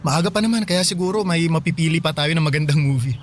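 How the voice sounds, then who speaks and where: Male actor for young, caring boyfriend in Filipino radio drama; lightish high pitch, easygoing encouraging talk, music behind. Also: fast talker, lightly acted. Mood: considerate. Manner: casual, eager, considerate. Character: young, attentive, encouraging boyfriend of an older woman.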